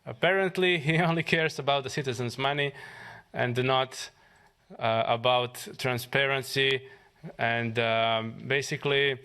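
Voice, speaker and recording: A man from Croatia with a Croatian accent, a member of parliament giving a press conference statement haltingly, with fillers and restarts. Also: mid-range voice, sharp tone, smooth.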